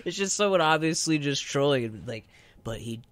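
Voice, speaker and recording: A man's voice: a little high, animated, normal speed, a bit bright, no echo. A young man co-hosting a radio show in casual, rambling chat, full of slangy asides and mock quotes.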